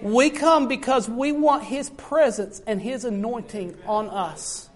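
A man preaching a sermon in a notably high, light voice. He talks earnestly and circles back on his points, often turning to the congregation to address them directly. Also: a little slow. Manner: earnest, repetitive, often addresses listeners as folks.

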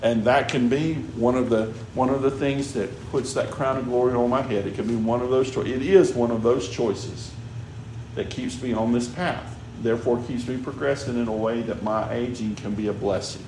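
A man's voice: medium pitch, steady tone, moderate pace, darkish tone. A man preaching a sermon in a conversational way, often checking in with "all right?" and "you know". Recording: echoey room with background noise.

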